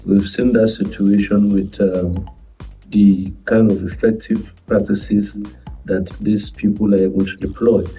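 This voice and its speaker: A Nigerian man offers formal, measured commentary in wordy, halting phrases. His voice is lowish and very dark and mellow, slightly distant from the microphone. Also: fairly even intonation. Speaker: guest governance commentator. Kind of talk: formal analytical panel commentary. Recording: muffled distant microphone, faint music behind.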